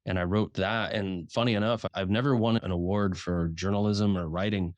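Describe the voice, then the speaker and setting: Guy talking straight in an interview, naturally deep voice pushed up a bit, swears easy, stumbles into sentences, dry sound. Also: fairly even intonation, no room sound, lo-fi sound. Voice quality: deliberately raised speaking voice, naturally deep. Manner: frank, swears casually, repeats words when starting sentences. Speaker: guest, writer and journalist. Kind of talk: casual interview chat.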